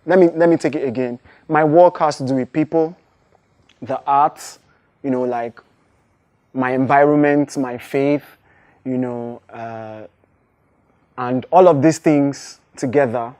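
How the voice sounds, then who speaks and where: A man's voice: medium pitch, fairly even intonation, a little slow, long pauses, a little mellow. A young Nigerian man with a Nigerian accent, a native Igbo speaker and hip-hop artist, giving thoughtful interview answers with frequent restarts and fillers.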